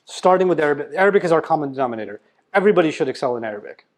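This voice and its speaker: A man's voice: a little high, lively intonation, very fast. A man giving a motivational religious lecture, emphatic and blunt, firing rhetorical questions and teasing his listeners. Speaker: Islamic lecturer.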